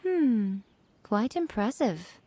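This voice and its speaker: A woman voicing a poised, sly shrine maiden of high rank in a video game, composed and coy in manner. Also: big pitch swings, slow, dark tone, a little hiss or hum. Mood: coy, intrigued.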